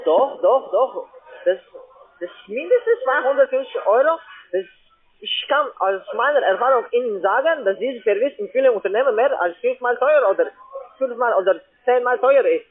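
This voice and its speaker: High-voiced foreign-accented man on a phone. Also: animated. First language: not German. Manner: halting, repetitive, insistent. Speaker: call-centre sales caller. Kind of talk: telephone sales pitch. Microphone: telephone. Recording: muffled, narrow phone line.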